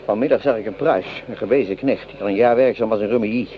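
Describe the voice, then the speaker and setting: A man's voice: lowish voice, fast, close to an everyday voice. A man playing a hungry, mocking French common soldier in a Dutch radio drama, with coarse, sneering complaints. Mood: bitter scorn. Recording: dull lo-fi radio play recording.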